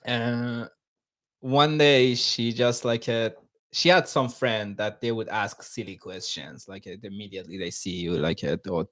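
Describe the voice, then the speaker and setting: A man's voice: fairly low pitch, fairly even intonation, a little slow, fairly bright tone. A man from Iran with a Persian accent, a podcast guest telling anecdotes casually in a joking way, often laughing through his words.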